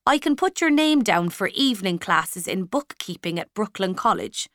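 A woman's voice: medium pitch, very animated, moderate pace, dry recording, clean studio sound. Woman reading as a kindly priest. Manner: gentle, reassuring. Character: kindly older male Irish-American parish priest.

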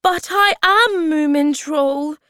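A woman acts out a young male storybook creature with a high, very lively voice. He is playful by nature and turns plaintive easily. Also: a little slow, no room sound, clean studio sound. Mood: upset, pleading. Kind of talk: storybook character voice.

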